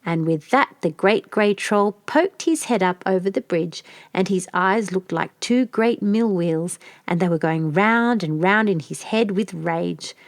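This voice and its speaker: A woman reading a scripted children's fairy tale as an expressive storyteller. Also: lowish voice, a little slow, few pauses, no echo, clean studio sound.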